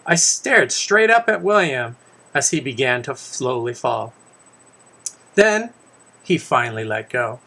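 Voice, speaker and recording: Bright-voiced man reading a children's story. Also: medium pitch, very animated, unhurried, creaky, pauses often. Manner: conversational, stumbles and corrects himself. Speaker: author reading his own children's book aloud. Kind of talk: first-person story reading with casual asides to listeners.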